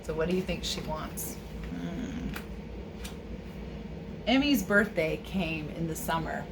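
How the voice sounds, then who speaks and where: A woman teacher reads a picture book aloud for children, adding chatty asides. Her intonation rises and falls widely, and clear ambient noise runs behind her voice. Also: low voice, animated, takes it slowly.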